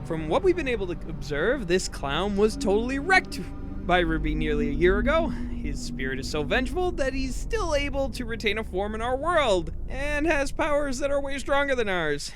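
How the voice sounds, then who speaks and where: A man's voice: very high voice, big pitch swings, normal speed, over music. A man playing a cowardly, anxious teenage boy in an audio drama. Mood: nervous. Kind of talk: fan audio drama acting.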